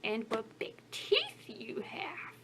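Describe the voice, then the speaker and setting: A woman reading a storybook aloud and voicing a polite, trusting little girl in an eager, bright way. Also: very high-pitched, sing-song, moderate pace, pitched above the performer's own voice, full character voice. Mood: innocent.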